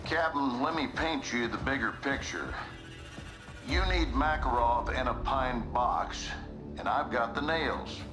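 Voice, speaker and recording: Guy playing a slick disgraced general, steady voice, game music right under him. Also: mid-range voice, a little slow, close to an everyday voice, rough recording. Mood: calculating. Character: scheming disgraced male general. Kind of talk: video game cutscene acting. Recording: game cutscene with music bed.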